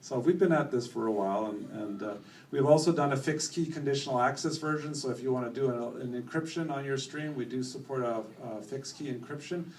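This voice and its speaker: A middle-aged Canadian man giving a company presentation at a conference, relaxed and full of fillers. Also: medium pitch, fairly quick. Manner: relaxed, many fillers, self-corrects. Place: conference hall. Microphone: room mic, later handheld. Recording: echoey hall from far off, faint noise.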